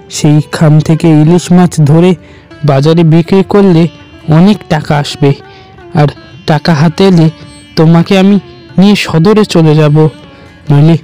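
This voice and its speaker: A man voicing a poor, desperate village fisherman, a devoted husband who speaks earnestly and haltingly in a horror cartoon. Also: a little high, mellow, lightly acted.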